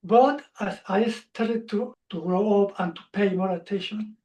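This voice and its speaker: A man in his forties, speaking English as a second language, telling his story as a coach guest in a reflective, halting way. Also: sits high, dark tone, far from the mic. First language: not English. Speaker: social anxiety coach. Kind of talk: interview guest, personal story.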